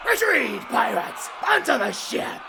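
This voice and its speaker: A theatrical, menacing female pirate boss for an audio drama. The voice swoops widely and drawls slowly, full of taunts, over faint noise and ambience. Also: on the high side, big pitch swings, vocal fry, shouting, crowd noise, full character voice. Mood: furious.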